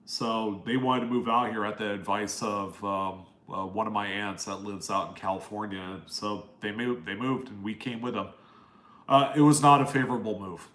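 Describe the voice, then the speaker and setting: A man's voice: fairly low pitch, fairly even intonation, normal speed, a bit bright, roomy sound. A nasal-voiced young man from Maryland hosting a casual live Q&A, friendly and rambling as he reads out viewer names and answers their questions. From East Coast US, Maryland. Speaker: live stream host answering chat questions.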